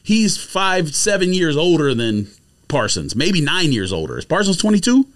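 A man's voice: fairly high pitch, very animated, moderate pace. A man co-hosting a sports podcast, arguing and explaining his points in a casual, interjecting way.

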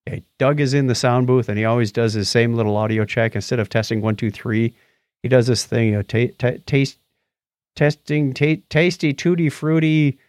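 A man's voice: medium pitch, moderate pace, no echo, clean studio sound. A man co-hosting a podcast, chatting casually about movies and telling stories in a chatty way. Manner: chatty, animated storytelling. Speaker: podcast co-host.

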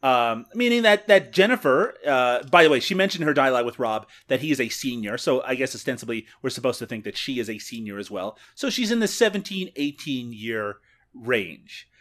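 Lively Canadian man recapping a movie. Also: medium pitch, brisk. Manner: laughs often, recaps scenes. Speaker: podcast co-host. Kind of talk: casual movie review chat.

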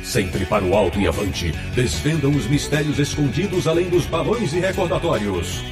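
A man's voice: medium pitch, lively intonation, moderate pace. A man reading a scripted intro as a podcast announcer in a grand, theatrical manner. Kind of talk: scripted dramatic intro narration. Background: guitar music.

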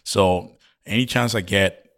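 A man's voice: fairly low pitch, a little slow, fairly bright tone. A man with a Ghanaian accent, talking casually and personally as a guest on a podcast. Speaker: podcast guest, oil and gas professional. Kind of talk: casual chat, personal reminiscence.

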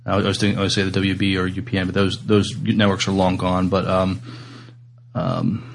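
Low-voiced, tentative man. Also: little pitch movement, vocal fry, a little hiss or hum. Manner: tentative, halting. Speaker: podcast co-host. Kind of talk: casual chat.

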